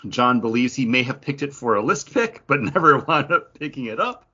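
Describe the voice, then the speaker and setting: A man's voice: mid-range voice, animated, fairly quick, bright, forceful tone, slightly rough, lo-fi sound. A man co-hosting a podcast, chatting casually in a comic book review. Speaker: podcast co-host.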